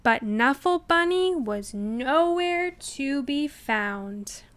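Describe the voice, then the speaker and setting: Very slow, high-voiced woman reading aloud. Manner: deliberate, expressive enunciation. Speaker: storybook reader. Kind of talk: children's read-aloud narration.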